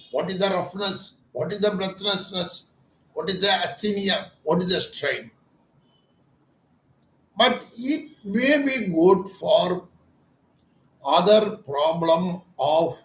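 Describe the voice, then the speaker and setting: A man speaks as a doctor, slow and deliberate, with a fairly high voice for a man. He is earnest and emphatic, stressing his points and turning them into questions, and the room around him sounds echoey. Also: a bit bright. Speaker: ENT doctor treating voice disorders. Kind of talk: explanatory talk about a clinic's treatment.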